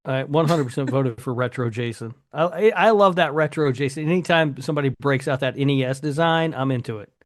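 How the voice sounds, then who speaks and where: A man's voice: medium pitch, fairly quick, hardly any pauses, no room sound, clean studio sound. A man chatting casually as a podcast co-host, speaking bluntly with some casual swearing. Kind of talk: casual chat.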